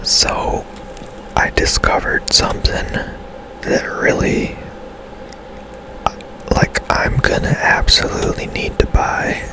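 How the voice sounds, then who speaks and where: A man whispering softly and casually as he gives rambling, unhurried commentary on a video game for ASMR. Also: poor audio. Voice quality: soft unvoiced whisper. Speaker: game streamer.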